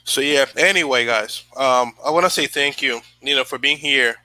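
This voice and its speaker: Bright-voiced man rambling to live chat. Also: mid-range voice, moderate pace, dry recording, rough recording. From Dominican Republic. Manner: rambling, many fillers and restarts, reads live chat. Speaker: channel host. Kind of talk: casual motivational livestream talk.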